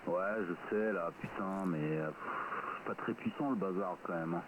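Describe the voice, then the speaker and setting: A man's voice: low voice, normal speed. A man chatting informally and hesitantly over a two-way radio. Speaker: radio amateur. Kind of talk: casual radio chat. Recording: tinny, very noisy radio link.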